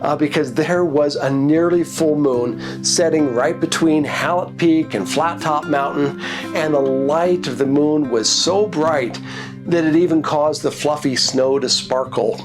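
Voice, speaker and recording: A man, a nature photographer and host of his own channel, sounds congested from a cold as he tells the stories behind his photos in a relaxed, reflective way. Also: fairly high pitch, unhurried, over music. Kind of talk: casual narrated photo recap.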